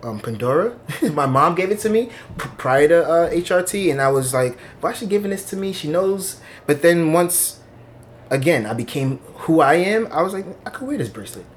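A man's voice: a little high, lively intonation, normal speed, slight background noise. A man in his thirties from Long Island, speaking candidly and reflectively as an oral history interviewee, recorded in an office. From Long Island, New York. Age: 30s. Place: office indoors.

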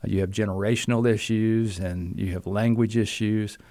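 A man's voice: lowish voice, fairly even intonation, normal speed, dark tone, no echo. A man hosting a podcast interview as a seminary professor, talking in a friendly, easygoing way and often restarting his phrases.

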